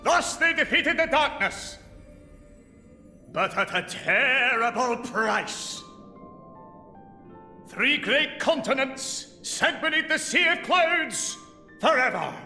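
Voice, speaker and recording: A man's voice: very high-pitched, lively intonation, slow, pauses often, sharp tone, exaggerated voice. A man playing the grave, grand narrator of a legendary stage play inside a video game, telling it theatrically in an echoing hall. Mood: solemn. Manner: solemn, theatrical storytelling. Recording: echoey theatre hall, music behind.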